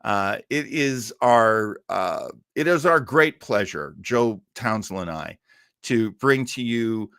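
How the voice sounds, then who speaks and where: A man's voice: fairly low pitch, animated, takes it slowly, creaky, fairly bright tone, no room sound, clean studio sound. A man hosting a livestream, chatting casually with viewers in a rambling, stop-start way. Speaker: host of a livestream show.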